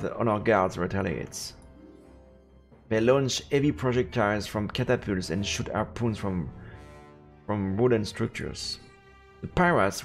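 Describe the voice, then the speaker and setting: A man's voice: on the low side, vocal fry. A man narrating a fantasy visual novel aloud as a streamer, in a dramatic storybook style. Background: faint game music.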